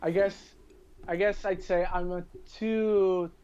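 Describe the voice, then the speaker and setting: A man's voice: high voice, steady tone, slow, a little mellow, clean tone, dull audio. A man chatting casually as a guest on a podcast. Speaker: podcast guest, video reviewer. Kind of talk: casual chat.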